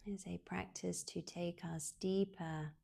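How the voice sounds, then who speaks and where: A woman guiding a relaxation meditation in a soothing, unhurried way, leaving long pauses, with soft, breathy phrase endings. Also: fairly low pitch, steady tone. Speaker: yoga nidra guide.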